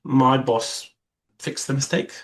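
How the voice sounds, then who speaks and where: A young man whose first language is Arabic talks through his ideas in an interview. His voice sits at a mid pitch, and he pauses and restarts often as he searches for words. He acts out other people's remarks as quotes in passing. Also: little pitch movement. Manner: hesitant, with frequent restarts and quoted asides. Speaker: vascular surgeon, interview guest. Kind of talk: reflective interview conversation.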